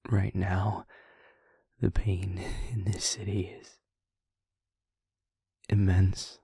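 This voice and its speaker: A worn-out, gentle guardian speaking in a slow, breathy whisper, as a man plays him. His voice sits very deep and close, dry and intimate. He stays calm and steady. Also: no room sound, full character voice. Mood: tired. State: exhausted. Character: weary, gentle male protector, calm. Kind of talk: audio roleplay acting.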